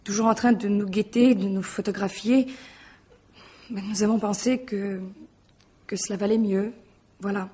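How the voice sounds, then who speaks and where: A woman's voice: mid-range voice, steady tone, unhurried, long pauses, dark tone, husky. A woman acting a guarded, distraught young mistress in a French radio mystery drama, speaking formally, evasively and with hesitation. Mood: defensive, strained.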